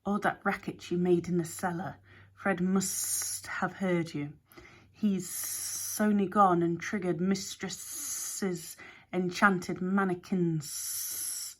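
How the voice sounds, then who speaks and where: A woman voicing a sardonic male snake-like creature who drawls slowly and mutters in a hushed voice. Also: lowish voice, fairly even intonation. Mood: acid, muttering.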